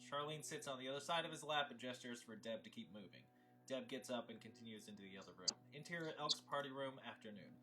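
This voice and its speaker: A man's voice: mid-range voice, fast talker, over music. A man reading stage directions as narrator at a film table read.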